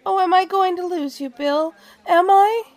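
An actress playing a possessive, teasing stage star who is insecure in love, in a radio drama with first-person narration. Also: female voice, very high-pitched, normal speed. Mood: teasing, needy.

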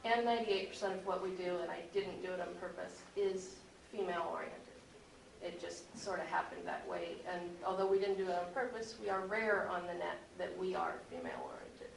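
A woman's voice: on the low side, expressive, fairly quick, gravelly. A woman speaking informally as a panelist on a convention panel about her work as a producer and voice actor. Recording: echoey room, distant mic, faint noise.

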